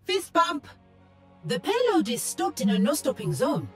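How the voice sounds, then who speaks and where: A woman's voice: high-pitched, huge pitch swings, measured pace, soft background music, heavily acted. A woman playing an earnest, naive guardian robot in a video game, precise and formal with a polite sternness. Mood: polite, innocent.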